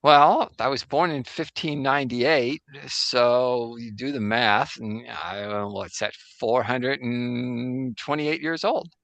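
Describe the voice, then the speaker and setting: A man's voice: medium pitch, fairly even intonation, creaky, a bit bright, no echo. A man playing a rambling old Jesuit astronomer. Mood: wry. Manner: halting, full of restarts and fillers, joking anachronisms. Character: 17th-century Jesuit astronomer priest, man, very old, casual. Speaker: guest in role-play. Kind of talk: improvised in-character interview on a video call. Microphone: video call.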